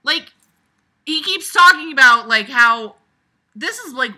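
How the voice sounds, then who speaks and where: Casting: very bright, sharp voice for an exasperated read-along critic; casual, blunt, sarcastic, swings from muttering to emphatic outbursts. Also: sits high, measured pace. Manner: animated, emphatic, jumps from muttering to loud outbursts. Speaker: reader reviewing a book aloud. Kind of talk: casual running commentary while reading a novel aloud.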